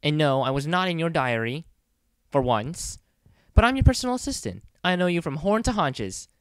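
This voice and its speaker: Man playing cheeky high-voiced cartoon assistant. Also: very animated, normal speed, a bit bright, clean tone, no echo. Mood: cheeky, rambling. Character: chatty, nosy young personal assistant to a pony. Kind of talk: ad-libbed cartoon parody audition example.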